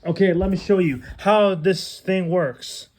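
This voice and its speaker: Male unboxing vlogger, slightly high pitch, very slow and halting, casual demonstration style. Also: darkish tone, slight background noise. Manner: halting, short phrases, non-standard grammar. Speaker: unboxing video creator. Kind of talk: casual unboxing vlog, talking through a demonstration.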